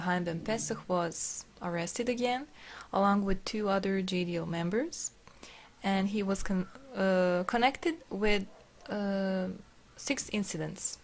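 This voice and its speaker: Serbian-accented woman reading and translating haltingly. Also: medium pitch. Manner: halting, searching for words while translating. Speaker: video narrator. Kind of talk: reading a text aloud and translating it.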